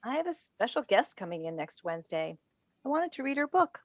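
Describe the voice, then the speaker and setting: A woman's voice: fairly high pitch, big pitch swings, fairly quick, dry recording. A woman playing a driven, needling mother and broadcast advice host in a staged play reading over a video call. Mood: insistent. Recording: dry video call recording.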